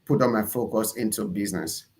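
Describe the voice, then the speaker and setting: A man's voice: on the low side, fairly quick, darkish tone. A man from Nigeria with a Nigerian accent, speaking as an interview guest in an emphatic, conversational storytelling way. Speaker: interview guest, entrepreneur and pastor.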